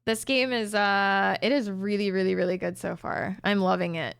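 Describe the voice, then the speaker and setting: A woman streamer, winded and slightly hoarse from reading aloud, chatting casually with many ums and repeated words. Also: mid-range voice, steady tone, moderate pace, no echo. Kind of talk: casual stream sign-off chat.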